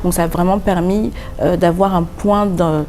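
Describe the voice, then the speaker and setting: Mellow-voiced French woman, hesitant. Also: on the low side, fairly even intonation, moderate pace, slight background noise. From France. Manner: earnest, explains at length with many hesitations. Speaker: designer and boutique founder. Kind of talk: interview answers. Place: pop-up boutique.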